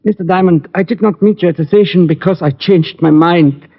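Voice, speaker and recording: Raspy, high-pitched anxious gunsmith. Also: male voice, a little fast. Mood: guarded. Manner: formal, hesitant, pleading. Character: anxious, secretive older male gunsmith uncle, apologetic. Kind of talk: 1940s radio drama acting. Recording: muffled old radio recording.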